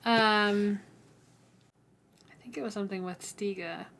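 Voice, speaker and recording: A woman's voice: mid-range voice, fairly even intonation, measured pace, vocal fry, fairly bright tone. A woman co-hosting a book discussion in a casual, tentative way, as if thinking aloud. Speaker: co-host of a book read-along podcast.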